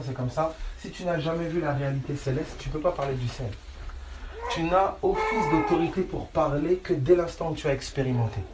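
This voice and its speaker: A male preacher teaches in an informal, colloquial way. He is insistent, doubling back on phrases to drive them home. His voice rings in a reverberant room, with clear noise behind it. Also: on the high side. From France. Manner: insistent, often checks listeners follow; colloquial, repeats phrases for emphasis. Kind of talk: informal sermon, Bible teaching.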